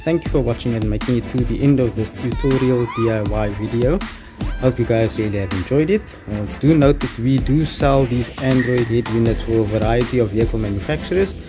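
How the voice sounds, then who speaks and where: A man's voice: medium pitch, brisk, over music. A man with a South African accent giving a casual, hands-on DIY tutorial, talking informally to his viewers. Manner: informal, addresses viewers as guys. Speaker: presenter of a DIY installation tutorial.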